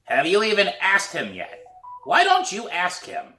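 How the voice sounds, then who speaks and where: A man voicing a gruff, sharp-tongued old woman neighbor in a storybook, with a hoarse, raspy voice and a blunt, scolding manner. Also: high-pitched, huge pitch swings, measured pace, reverberant, far from the mic, soft background music, pitched above the performer's own voice, heavily acted. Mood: needling.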